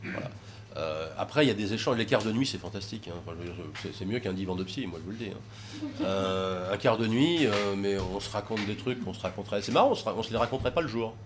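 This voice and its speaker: A man's voice: on the low side, animated, fast talker, noisy background. A man talking informally about taking troubled youths sailing, rambling with many hesitations and fillers. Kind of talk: informal talk with audience questions.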